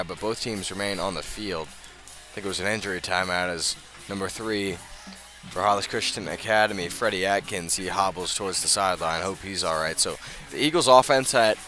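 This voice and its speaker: A man's voice: low-pitched, moderate pace, sharp tone, soft background music. An American man doing live radio play-by-play of a football game in short, clipped phrases. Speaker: play-by-play commentator.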